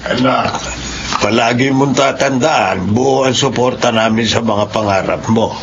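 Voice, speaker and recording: Actor as a gravelly, supportive middle-aged father. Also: medium pitch, measured pace, natural voice. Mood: reassuring. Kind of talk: Filipino radio drama acting. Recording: dull, noisy radio drama audio.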